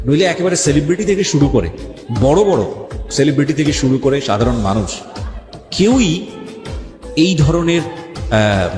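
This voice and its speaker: Male radio host, Bangladeshi accent, mid-pitched, deliberate emphatic delivery, long reverb. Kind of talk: radio talk show hosting, addressing listeners and questioning a guest. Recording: echoey reverb, background music. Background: music.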